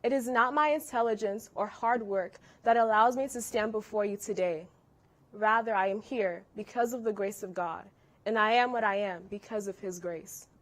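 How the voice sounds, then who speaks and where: A woman's voice: mid-range voice, moderate pace. A teenage girl whose first language is Yoruba, giving a formal, grateful valedictorian speech. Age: teens. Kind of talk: prepared ceremony speech.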